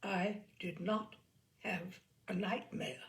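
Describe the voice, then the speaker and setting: A woman voicing an irritable, stubbornly indignant father in a storybook reading, speaking slowly and firmly. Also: medium pitch, fairly even intonation, natural voice. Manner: slow, firm, contentious. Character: tall spare father, irritable, stubbornly indignant older man.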